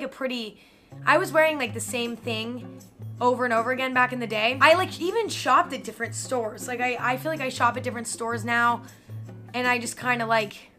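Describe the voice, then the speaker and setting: A woman's voice: fairly high pitch, sharp tone. A young woman from Northern California, chatting casually and self-mockingly to camera as a vlogger in a hotel room, with lots of "like" fillers. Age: young adult. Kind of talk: casual vlog chat.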